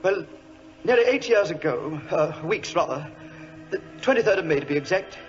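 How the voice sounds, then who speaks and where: Casting: man as well-bred young government clerk, excitable, polite, fairly high voice, muffled vintage radio play with some hiss. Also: normal speed, soft background music, lightly acted. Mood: distraught, nervous. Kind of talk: 1940s radio acting.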